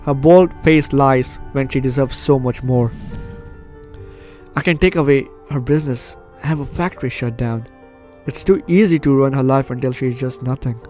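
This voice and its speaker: A man's voice: medium pitch, moderate pace, a little mellow. A man hosting a casual let's play, chatting and reading a story game's text aloud. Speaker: gaming video host. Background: game music.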